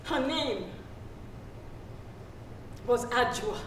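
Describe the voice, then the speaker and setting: A slow, solemn free woman storyteller. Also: fairly high pitch. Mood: grave, sorrowful. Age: middle-aged. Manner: measured, halting, deliberate storytelling. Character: free young woman solemnly recounting her seafaring birth. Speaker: actress. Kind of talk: dramatic stage reading, solo storytelling monologue. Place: theatre stage. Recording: roomy stage pickup, distant, hissy ambience.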